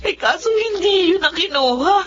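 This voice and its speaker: A woman's voice: extremely high pitch, expressive, normal speed, fairly bright tone, highs cut off, slight background noise. A woman with a Filipino accent playing an apologetic, anguished young wife in a radio drama. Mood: tearful, distressed.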